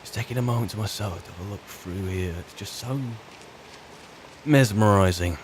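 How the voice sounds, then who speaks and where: Guy roleplaying a weary british fantasy servant turned adventurer, lowish voice, wry rambling muttering to himself, some noise behind him. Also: lightly acted. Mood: wistful musing. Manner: rambling, musing, wry, halting. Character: weary, chatty male wizard's servant turned adventurer, grumbling wryly. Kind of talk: in-character game roleplay monologue.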